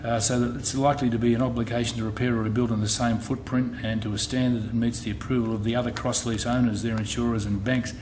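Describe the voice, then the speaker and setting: A middle-aged Australian man addresses a meeting in a measured way, often stopping to restart a phrase. His pitch sits in the middle range. The recording carries a clear layer of background noise. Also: level tone, darkish tone. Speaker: insurance company executive. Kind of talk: presentation at a public meeting. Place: meeting hall. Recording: noisy meeting room recording.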